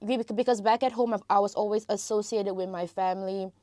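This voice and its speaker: A woman's voice: medium pitch, steady tone, quick pace, dark tone, no echo. A young woman from Malaysia with an American-sounding Malaysian English accent, chatting as a podcast guest and filling her talk with "like" and "you know". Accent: American-influenced Malaysian English. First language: Tamil. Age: young adult. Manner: chatty, lots of "like" and "you know", frequent restarts. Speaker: podcast guest, international student. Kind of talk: casual interview conversation.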